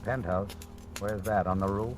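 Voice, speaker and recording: An actor playing a sullen, stooped night elevator operator in a 1940s radio drama, speaking in terse, blunt phrases. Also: male voice, lowish voice, fairly even intonation, moderate pace, lightly acted. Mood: flat, menacing. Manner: terse, blunt, plain working-class talk. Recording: noisy, crackly vintage radio recording.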